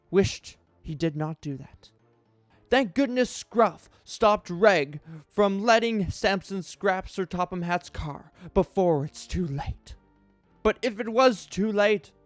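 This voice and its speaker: An aggrieved, earnest fan played by a man delivers an online cartoon review as mock high drama. The voice is high, halting and heavy on emphasis, recorded dry with faint music underneath. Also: no echo. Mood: exasperated.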